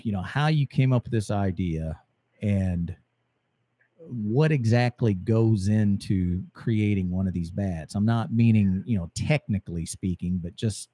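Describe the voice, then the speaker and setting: A man hosting a radio interview, asking easygoing questions, his voice getting hoarse. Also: fairly low pitch, unhurried, warm tone, no echo. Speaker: radio show host. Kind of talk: casual interview chat.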